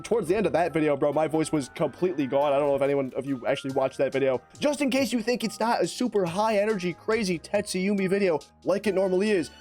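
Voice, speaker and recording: A young man with a raspy, hoarse voice, giving excitable, slangy gameplay commentary as a YouTuber. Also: on the high side. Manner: excitable, slangy, fast-talking, rambling. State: hoarse from lost voice. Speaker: gaming YouTuber.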